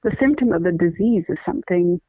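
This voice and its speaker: A woman's voice: on the low side, expressive, brisk, no room sound. Woman guest, middle-aged, earnest explainer with many restarts; dry phone-line sound. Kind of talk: conversational interview, explaining.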